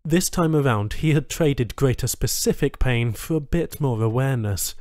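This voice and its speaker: Dry, mid-pitched male audiobook narrator. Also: normal speed, darkish tone, no echo. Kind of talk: scripted story narration.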